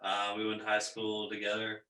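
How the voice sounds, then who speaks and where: A man's voice: fairly low pitch, monotone, normal speed, reverberant, noisy background. A man from Ontario, a band member on a podcast, talking casually and rambling with many restarts. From Ontario, Canada. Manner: rambling, lots of fillers and restarts. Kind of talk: casual chat.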